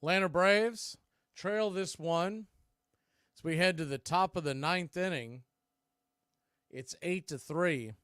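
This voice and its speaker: A man's voice: sits high, slow, pauses often, a bit bright, clean tone, dry recording, clean studio sound. A man doing live baseball play-by-play as announcer and host, conversational and opinionated.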